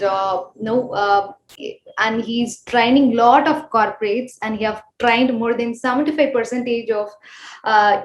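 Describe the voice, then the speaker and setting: A woman hosts an online event in a fairly high voice. Her delivery is polite and halting, half scripted, and the call audio has a noticeable room echo. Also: a little hiss or hum. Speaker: host introducing a workshop speaker. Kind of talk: semi-scripted event introduction. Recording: roomy, echoing video call audio.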